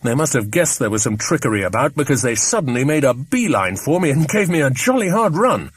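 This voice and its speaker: A man voicing a brisk, hearty, commanding English man in an audiobook. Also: mid-range voice, very animated, husky, no room sound, pitched above the performer's own voice. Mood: relieved, breezy. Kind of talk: audiobook character voice.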